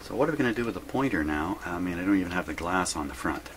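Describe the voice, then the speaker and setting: A man with a low-pitched voice talks casually through hands-on work in a home workshop. He rambles and muses to himself as he goes, with clear noise behind him on the recording. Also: lively intonation, very fast, a bit bright. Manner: rambling, musing aloud to himself. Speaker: host of a radio repair video. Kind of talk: casual hands-on workbench narration.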